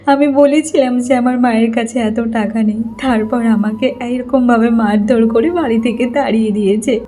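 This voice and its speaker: A woman's voice: fairly high pitch, fairly even intonation, moderate pace, dark tone, close to an everyday voice. A woman playing a wronged young housemaid wife in a cartoon story, emotional and pleading, tearful yet defiant. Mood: tearful, hurt. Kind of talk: Bengali cartoon story acting.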